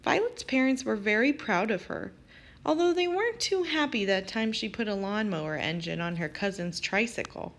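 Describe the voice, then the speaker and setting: A woman reading a children's picture book aloud as an expressive storyteller. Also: medium pitch, normal speed. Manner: expressive, animated storytelling with acted character lines. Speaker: storybook reader.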